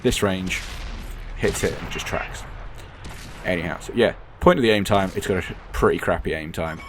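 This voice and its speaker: A man with a croaky, hoarse voice, giving rambling, blunt gameplay commentary and often correcting himself. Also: low-pitched, normal speed, background noise. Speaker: gaming commentator. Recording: game sounds clearly behind the voice.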